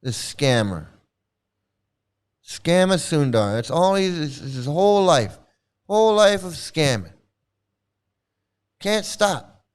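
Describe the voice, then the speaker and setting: A man's voice: fairly high pitch, very animated, slow pace, pauses often, clean tone. A middle-aged man ranting in casual commentary, profane and scornful, repeating words and trailing off into restarts. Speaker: commentary channel host.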